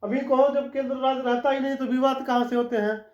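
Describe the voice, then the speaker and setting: A high-pitched man lectures on law, going over each point twice and checking in with his listeners as he goes. The recording is dull and lo-fi, and his voice rings in an echoey room. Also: steady tone, quick pace, a little mellow. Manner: explanatory, repeats key points, frequent "ठीक" check-ins. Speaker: law teacher. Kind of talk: classroom-style lecture.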